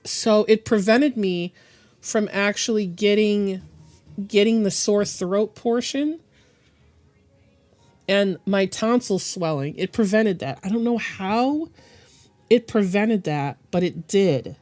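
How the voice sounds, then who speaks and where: A woman streaming while she draws, her voice hoarse and deepened by bronchitis, talking in a halting, rambling way and clearing her throat often. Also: darkish tone, faint music behind. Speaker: digital art streamer. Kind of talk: casual live-stream chat.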